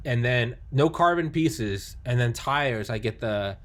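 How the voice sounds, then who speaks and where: A man in casual chat who talks excitedly, rambles, restarts often and swears a lot. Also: mid-range voice, unhurried. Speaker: gamer chatting.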